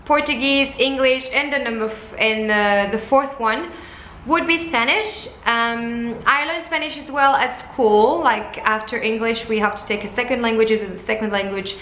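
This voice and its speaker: Woman in her twenties from France, French first language, bright voice, relaxed chatty vlog delivery, echoey room. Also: fairly high pitch, moderate pace. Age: 20s. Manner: chatty, lively, with many fillers and restarts. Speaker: flight attendant vlogger. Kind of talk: casual talking-to-camera vlog. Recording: echoey room with faint background noise.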